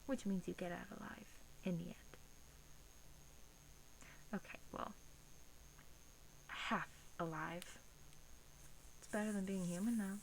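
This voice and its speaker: Woman playing a slow, soft-spoken, creaky vampire. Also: mid-range voice, fairly even intonation, poor audio. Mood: smug, coaxing. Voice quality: soft, breathy, often whispering. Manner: slow, coaxing, condescending. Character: sweetly menacing female vampire, patient and teasing. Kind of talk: soft-spoken ASMR roleplay. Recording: faint hiss.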